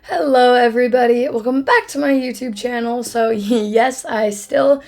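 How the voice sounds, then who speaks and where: A young woman hosts a true crime video in a hoarse, froggy voice with a gravelly edge. She talks casually and chattily, dropping apologetic asides as she goes. Faint music sits underneath. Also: fairly high pitch, moderate pace, darkish tone.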